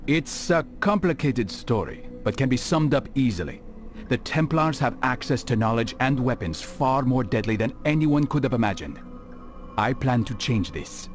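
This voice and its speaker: A man's voice: mid-range voice, expressive, normal speed, soft background music, natural voice. Stern, dry-witted assassin acted by a man. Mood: grave, purposeful. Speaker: video game character. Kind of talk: scripted game cutscene acting.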